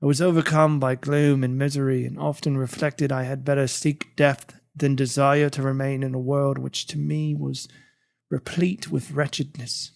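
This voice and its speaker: A man playing an anguished, guilt-ridden imprisoned gentleman, narrating a literary audiobook in a theatrical, brooding manner. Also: medium pitch, level tone, moderate pace, gravelly, lightly acted. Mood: gloomy, reflective.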